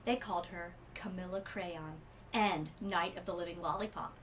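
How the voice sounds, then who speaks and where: A woman reading a children's storybook aloud as a literacy coach, with expressive emphasis and dramatic pauses. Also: lowish voice, slight background noise.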